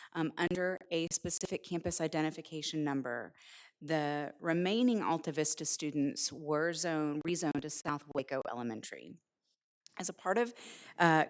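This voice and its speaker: A woman district administrator presenting to a school board, with a voice she calls loud and frequent "um" fillers. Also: fairly low pitch, clean tone. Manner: self-described loud voice, reads details with fillers. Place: board meeting room.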